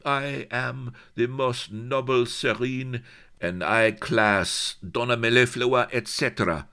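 A man voicing a haughty, hot-tempered teenage Spanish noblewoman with a put-on Spanish accent, shrill and theatrical. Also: fairly even intonation, slow, sharp tone, clear, resonant tone.

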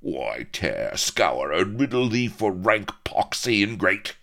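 A man voicing a big, furious, blustering pirate who howls in rough rustic dialect, in a storybook reading. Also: very deep voice, sing-song, takes it slowly, a bit bright, full character voice. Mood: outraged bluster. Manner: howling, dialect-heavy bluster.

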